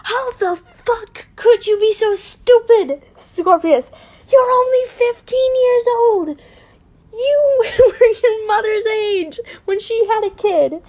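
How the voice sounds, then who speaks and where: A woman voices an overdramatic storybook dad with a short temper. The voice is very high, exasperated and scolding. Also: lively intonation, moderate pace, hardly any pauses, warm tone. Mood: furious. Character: hot-tempered, overdramatic father, easily angered.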